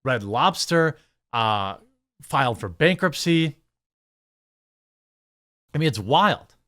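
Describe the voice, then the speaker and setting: An American man with a mid-pitched voice hosts a news commentary show. He moves between reading articles aloud and reacting in his own words. His delivery is blunt and sarcastic, with exasperated asides. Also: animated, slow pace, fairly bright tone.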